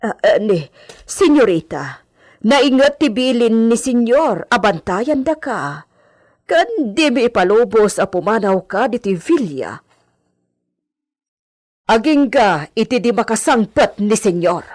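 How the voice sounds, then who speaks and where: A woman's voice: a little high, very animated, slow pace, darkish tone, full character voice. A woman playing an anxious, deferential maidservant in a radio drama, with an Ilocano accent and a hesitant, pleading way of talking. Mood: worried, pleading.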